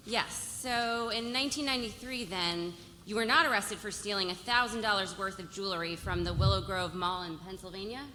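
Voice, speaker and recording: Woman as bright, cutting cross-examining lawyer, hall reverb. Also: medium pitch, fairly quick, hardly any pauses, natural voice. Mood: accusing. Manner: probing, pointed. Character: sharp, relentless female opposing lawyer cross-examining a witness. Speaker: actor. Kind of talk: live table read of a TV legal drama. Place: festival stage in a large hall. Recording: hall reverb with faint noise, live stage.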